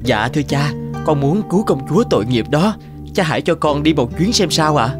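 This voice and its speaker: A man's voice: on the high side, lively intonation, fairly quick, music in the background, close to an everyday voice. A man voicing a brave, kind-hearted young prince in a fairy-tale cartoon, earnest and polite. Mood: pleading. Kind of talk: animated fairy-tale dubbing.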